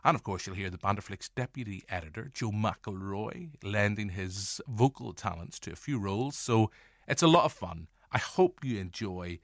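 Low-voiced Northern Irish podcast host. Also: male voice, lively intonation, moderate pace, no echo, studio quality. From Northern Ireland. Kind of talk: scripted-sounding podcast introduction.

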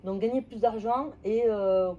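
Calm woman trainer guiding an exercise. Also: on the high side, measured pace, dull audio, slight background noise. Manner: calm, methodical, checking understanding. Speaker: NLP trainer. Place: training room indoors.

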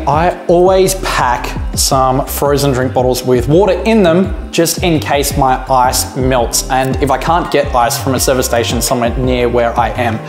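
A man's voice: medium pitch, roomy sound. An Australian man in his thirties, an upbeat fishing channel host talking to camera, gesturing with his hands as he gives fishing tips in his garage.

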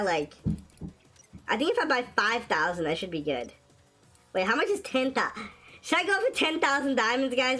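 Raspy-voiced excitable gaming host. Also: female voice, a little high. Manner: excitable, shrieks and laughs at wins and deaths. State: sick, sore throat. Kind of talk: casual gameplay commentary. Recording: faint game music behind.

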